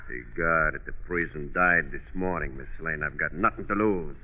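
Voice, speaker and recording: A man's voice: very deep voice, moderate pace. A man playing a cold, desperate, menacing killer in an old 1940s radio drama. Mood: cold menace. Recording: tinny, phone-like old radio recording.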